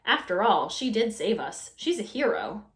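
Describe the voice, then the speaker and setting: A woman's voice: mid-range voice, very animated, normal speed, echoey room, close to an everyday voice. A woman reading a storybook voices an earnest, slightly pompous married man with formal, declarative phrasing. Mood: proud, earnest. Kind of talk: children's storybook character voice.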